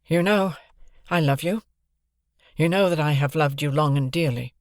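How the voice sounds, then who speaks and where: A man's voice: a little high, moderate pace, no room sound, studio quality, pitched above the performer's own voice, close to an everyday voice. A man voicing an earnest young man pleading his love in a storybook reading.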